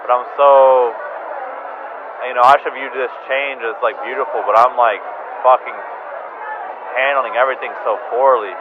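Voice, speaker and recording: A low-energy, downcast man rambling through a confessional voicemail, halting and repeating himself, casual and prone to swearing. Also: fairly even intonation. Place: bar, sitting alone. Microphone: phone voicemail recording. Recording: muffled sound, loud bar noise. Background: loud bar ambience.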